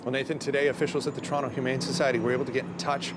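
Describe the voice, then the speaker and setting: A middle-aged man reporting live for the news outdoors, in a steady formal manner. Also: mid-range voice, very fast. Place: outdoors by a city building. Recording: outdoor street noise.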